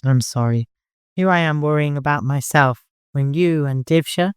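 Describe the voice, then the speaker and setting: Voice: medium pitch, moderate pace, clear, resonant tone, no room sound, clean studio sound, natural voice. A narrator voicing a frightened, defiant young woman, earnest and emotional. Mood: sad, guilty.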